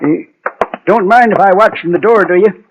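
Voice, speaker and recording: An American actor playing a folksy, chatty but firm frontier gunsmith in a Western radio drama. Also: male voice, high voice, moderate pace, close to an everyday voice. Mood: easygoing. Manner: folksy, drawling frontier phrasing. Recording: dull vintage radio recording, hiss.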